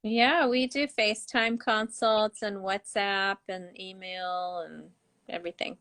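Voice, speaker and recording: A woman hosts a live chat over a video call, bubbly and eager. Her pitch sits in the middle, and her lively talk is often broken by laughs. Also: takes it slowly. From United States. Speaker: host doctor. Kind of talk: live online interview.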